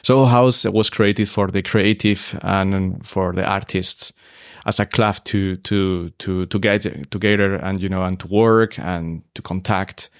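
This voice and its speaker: A man, a hotel expert talking relaxed in an interview, with many fillers and restarts. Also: low-pitched, measured pace, no room sound, clean studio sound. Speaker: hotel operations expert, guest. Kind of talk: casual interview conversation.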